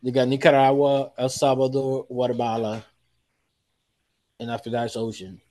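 Male chat guest, loose and informal, mid-pitched voice. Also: little pitch movement, moderate pace, dark tone. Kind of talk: casual political chat.

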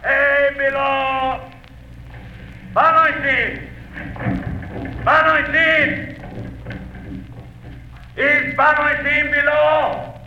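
An actor playing an alarmed sailor on watch, hailing shipmates with long, drawn-out calls in a 1930s radio drama. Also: male voice, very high voice, little pitch movement, slight background noise, full character voice. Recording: echoey, muffled old radio drama recording.

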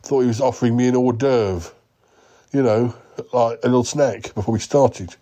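A man voicing a clueless male yeti uncle who talks slowly and hesitantly. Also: dark tone, lightly acted. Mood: bemused. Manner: slow, hesitant, wandering speech with a higher voice.

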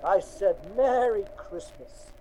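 A man's voice: high-pitched, animated, slow, mellow, slight background noise, rough recording. An actor playing a merry, drunken old farmer father in a radio drama, boisterous and rambling. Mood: jolly, rambling. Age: older.

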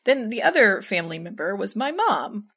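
A middle-aged woman with a husky, gravelly voice telling anecdotes in a casual interview as a director, animatedly quoting herself and others. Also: mid-range voice, moderate pace. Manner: animated storyteller, acts out quoted remarks. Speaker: podcast guest, audio drama director.

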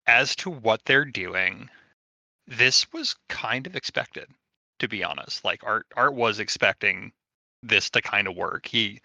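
A man playing a tabletop roleplaying game, casually describing his character's thoughts in a halting, thinking-aloud way. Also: fairly low pitch, gravelly, pushed and bright, dry recording.

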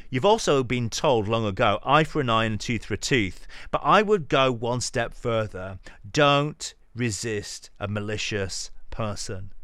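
A man's voice: mid-range voice, a bit bright, no room sound, clean studio sound. A man giving a dramatic reading of a sermon, preaching earnestly with emphatic phrases and endings that trail off. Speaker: podcast host reading scripture aloud.

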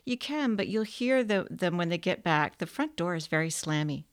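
A woman's voice: lowish voice, lively intonation, moderate pace. A woman voicing a precocious, sober little boy who talks in an earnest, matter-of-fact way.

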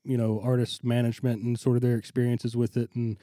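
A man hosting a music podcast, sounding stuffy and congested as he talks in a relaxed way full of ums and uhs. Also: mid-range voice, brisk, dry recording, studio quality. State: recovering from illness, stuffy nose. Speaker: podcast host. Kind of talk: casual podcast intro and interview.

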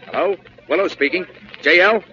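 An actor playing an irritable, flustered Wall Street brokerage boss in a blustering 1940s radio comedy style. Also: male voice, sits high, moderate pace, close to an everyday voice. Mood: urgent, barking. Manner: blustering, exasperated, barking orders. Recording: muffled, hissy vintage radio recording.

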